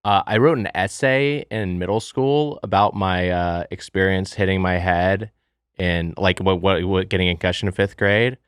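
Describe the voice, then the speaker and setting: A very deep-voiced man chatting on a comedy podcast, laid-back and wry. He tosses in dry jokes and quick agreement. The recording is close and dead. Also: steady tone, smooth, no echo. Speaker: podcast co-host. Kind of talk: casual comedic chat.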